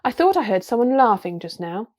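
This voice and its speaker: A woman voicing a tiny, chivalrous male mouse warrior, shrill, courtly and proud, in a storybook reading. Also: big pitch swings, a little fast, a little mellow. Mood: courtly, prickly. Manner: courtly, formal, touchy about honour.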